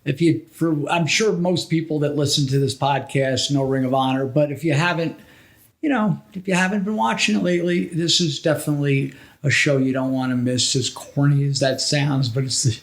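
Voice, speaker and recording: High-pitched middle-aged man, rambling casually. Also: normal speed. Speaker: podcast host and wrestling veteran. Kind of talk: casual conversational reminiscing.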